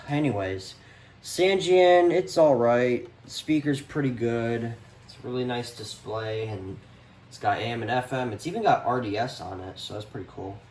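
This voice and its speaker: A man's voice: mid-range voice, moderate pace, darkish tone. A man giving a casual, unscripted show-and-tell as a vlogger, talking in a slangy, easily exasperated way. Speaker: vlogger showing a radio collection. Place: indoors, room at home. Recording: distant mic, faint background noise.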